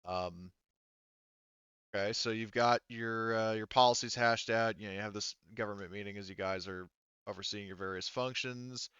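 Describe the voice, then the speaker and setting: A man casually running a tabletop roleplaying session as game master, halting and thinking aloud as he goes. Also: lowish voice, steady tone, fairly bright tone, clean tone, no echo, dull audio.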